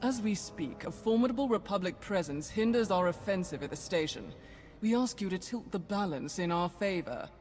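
A woman playing a solemn, formal female dark lord in a video game. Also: fairly low pitch, animated, moderate pace, close to an everyday voice. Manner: formal, grave. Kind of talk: video game cutscene acting. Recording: long reverb, soft music behind.

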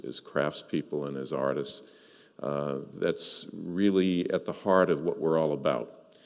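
A man's voice: very deep voice, darkish tone. A middle-aged man giving a ceremony speech as an executive director. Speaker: executive director presenting graduation. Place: large indoor auditorium. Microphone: podium microphone. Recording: reverberant hall through podium microphone.

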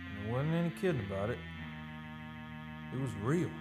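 A man's voice: medium pitch, expressive, a little fast, loud music behind the voice, natural voice, low-quality recording. An actor playing a plain-spoken oil-rig worker in a live old-time radio play, talking in a folksy, conversational way as he tells his tale. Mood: hushed wonder.

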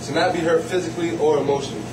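Brief: teen boy, fast, PSA lines in an echoey hallway, off-mic. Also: a little high, steady tone, far from the mic, very noisy. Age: teens. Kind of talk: student skit and PSA. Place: school hallway.

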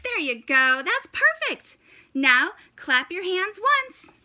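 Very high, bright woman reading to children. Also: slow pace, slight background noise. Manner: animated, exclaiming, encouraging, with audible gasps. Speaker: teacher reading story time for children. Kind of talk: interactive children's picture book read-aloud. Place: indoors, in front of a fabric backdrop.

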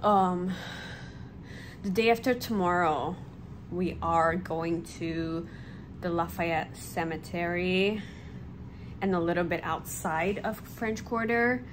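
A woman in her thirties chatting casually and haltingly to a handheld camera in a hotel room, as a travel vlogger. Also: on the low side, fairly even intonation. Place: small indoor hotel room. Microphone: phone or camera at arm's length. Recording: noticeable background noise.

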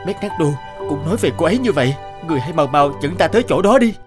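A man's voice: a little high, expressive, rapid-fire, music in the background, close to an everyday voice. A man voicing an earnest, impatient young king in a cartoon fairy tale, urgent and commanding. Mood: amazed, urgent.

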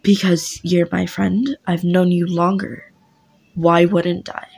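A man's voice: high voice, little pitch movement, slow, gravelly, darkish tone, breathy edge, heavily acted. A woman voicing an angry, pushy young male best friend who swears and confronts. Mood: insistent.

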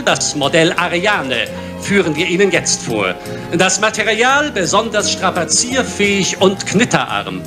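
An actor playing a middle-aged fashion show presenter, giving smooth, boastful, emphatic sales patter into a handheld microphone. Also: male voice, high-pitched, full character voice. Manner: showy, emphatic sales delivery. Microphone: handheld microphone on stage. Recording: music under the voice.

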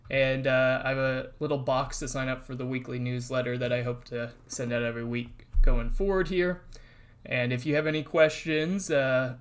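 A man's voice: mid-range voice, normal speed. Casual man explaining a construction schedule. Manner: relaxed, explanatory, with frequent fillers. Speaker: construction update host. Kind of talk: casual narrated site update over drone footage. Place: voice-over, not recorded on site.

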